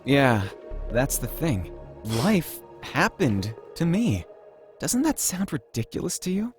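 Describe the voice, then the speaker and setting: A man voicing a wistful, hesitant, soft-hearted young man in visual novel dialogue, read aloud. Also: medium pitch, big pitch swings, slow pace, soft background music.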